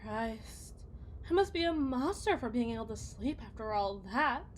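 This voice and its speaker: A woman playing a bitter, self-loathing wife and mother in an ASMR roleplay, speaking in a theatrical, halting, rambling lament. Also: on the high side, animated, slight background noise, natural voice. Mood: bitter, anguished.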